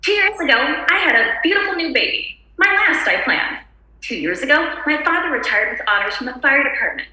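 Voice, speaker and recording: A woman's voice: high-pitched, very animated, a little fast, pushed and bright, heavily acted. A woman acting a frazzled suburban housewife at a screenplay table read, insistent, nervous and desperately polite with brittle cheer. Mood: frantic, exasperated. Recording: very echoey room recording.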